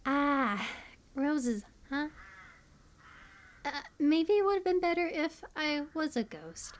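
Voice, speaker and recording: A woman's voice: high-pitched, sing-song, slow pace, slight background noise, exaggerated voice. A woman playing a hyperactive, kooky young stranger in an audio roleplay, rambling and stammering through playful, slangy banter addressed to the listener. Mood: awkward, opinionated. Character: hyperactive, chatty, kooky young female cemetery stranger, teasing.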